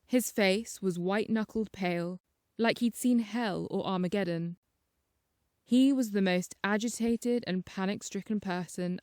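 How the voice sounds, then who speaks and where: A woman narrates a first-person short story in a scripted audiobook style. Her pitch sits in the middle range. The recording is very dry, with little room sound. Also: a little mellow, no echo.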